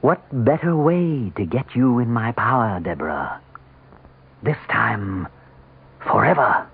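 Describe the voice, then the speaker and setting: A man's voice: medium pitch, lively intonation, slow, vocal fry, background noise. An actor playing a menacing, mocking devil disguised as a respectable man in a radio drama. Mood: gloating. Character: sinister, calmly taunting devil in respectable man's guise. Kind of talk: radio drama villain acting. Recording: tinny, band-limited old radio sound.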